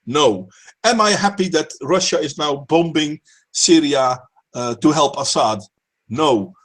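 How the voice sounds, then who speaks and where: A man's voice: a little high, animated, measured pace, fairly bright tone. A man hosting a casual stream chat, talking politics emphatically, swearing and repeating words as he starts sentences. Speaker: stream host.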